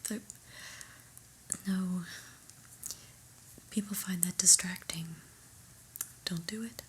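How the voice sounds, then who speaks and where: A woman plays a new female office boss in a whispered ASMR roleplay, exasperated and prim. She speaks very slowly in a fairly low whisper, with dry, deadpan condescension. A faint noise sits behind the voice. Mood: dry disapproval. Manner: deadpan, hesitant, politely condescending.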